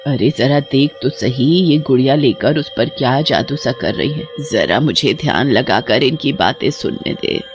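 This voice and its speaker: A man voicing an anxious, scolding old village mother in an animated folk tale. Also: mid-range voice, unhurried, few pauses, soft background music, pitched below the performer's own voice. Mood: hushed worry. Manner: alarmed, scolding.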